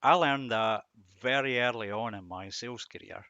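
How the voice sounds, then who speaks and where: A man's voice: mid-range voice, moderate pace, pushed and bright, no room sound, highs cut off. A middle-aged man giving a reflective devotional talk on scripture, asking rhetorical questions and repeating words for emphasis. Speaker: Bible teacher and host.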